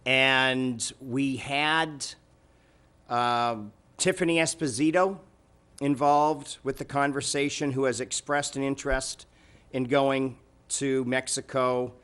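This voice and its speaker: A man chairing a city council meeting, giving informal remarks and updates in a halting, conversational way. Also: medium pitch, fairly even intonation, a bit bright, clean tone.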